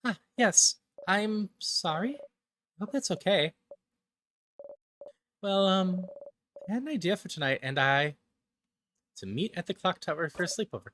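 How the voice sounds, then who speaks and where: A person voicing a gentle, shy young friend in game dialogue, hesitant, polite and apologetic. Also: medium pitch, animated, unhurried, long pauses, slight background noise, pitched above the performer's own voice. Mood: shy, friendly. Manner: hesitant, soft-hearted, polite.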